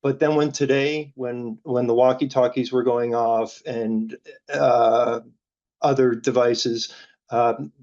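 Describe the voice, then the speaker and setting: A man with a mid-pitched, fairly monotone voice answers as an interviewed analyst. He speaks haltingly, repeating words as he thinks. The audio is dull and band-limited.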